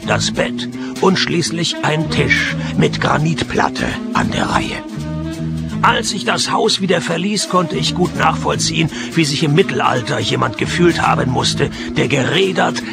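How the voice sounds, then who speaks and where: An actor playing a sardonic, down-at-heel private detective in a radio play, deadpan and gravelly. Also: male voice, fairly high pitch. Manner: deadpan, dry. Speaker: lead actor and first-person narrator. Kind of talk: radio play, noir-parody narration and dialogue.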